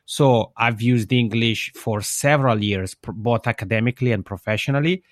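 A man with Italian as his mother tongue talks as an interview guest in a mid-pitched voice. He is thoughtful and explanatory, reworking his sentences as he goes. The sound is close and dry. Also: normal speed, no room sound. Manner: reflective, self-correcting, explanatory. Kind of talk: conversational interview answers.

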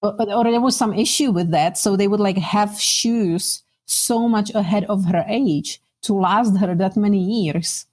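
A woman's voice: medium pitch, unhurried, hardly any pauses, darkish tone. A middle-aged woman from the Czech Republic with a Czech accent, sharing personal recollections conversationally as an interview guest.

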